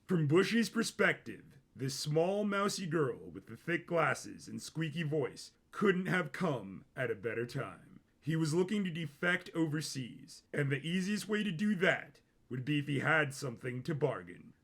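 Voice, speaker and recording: A man's voice: fairly high pitch, lively intonation, unhurried, gravelly, hardly any pauses, a bit bright. A man reading scripted dramatic fiction as the narrator of a story.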